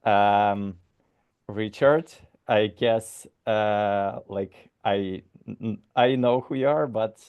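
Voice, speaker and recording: A man's voice: on the low side, extremely slow, smooth, phone-quality sound. A man from Ukraine moderating a community video call, greeting people hesitantly with many fillers and restarts.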